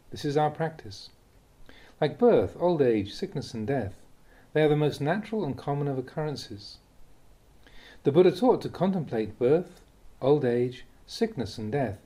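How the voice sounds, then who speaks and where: Mellow-voiced man reading spiritual teachings. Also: medium pitch. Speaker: reader of Buddhist teachings. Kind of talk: scripted audiobook reading of a Dhamma talk.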